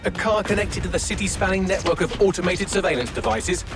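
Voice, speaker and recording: A man's voice: high voice, quick pace, gravelly, over loud music. A British man voicing a witty, sarcastic artificial intelligence assistant in a video game, with a wry, chatty manner. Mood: mocking, amused. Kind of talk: scripted video game voice acting.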